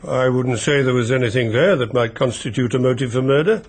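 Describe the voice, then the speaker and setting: An actor playing a discreet family solicitor with an English accent, speaking in a measured, polite, reserved way. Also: male voice, medium pitch, slightly rough. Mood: measured, grave. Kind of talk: radio mystery drama acting.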